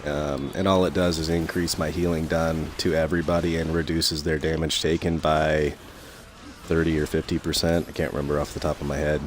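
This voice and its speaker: A young man commentating his gameplay in a casual, rambling way full of fillers, his voice a little raspy from talking so long. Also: extremely low pitch, flat delivery, fairly quick, slight background noise. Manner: rambling, many fillers and restarts.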